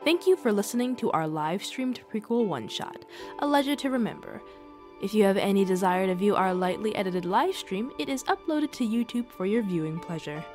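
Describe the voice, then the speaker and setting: Woman with very lively intonation, podcast announcement. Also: mid-range voice, brisk, faint music behind. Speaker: podcast host reading the outro.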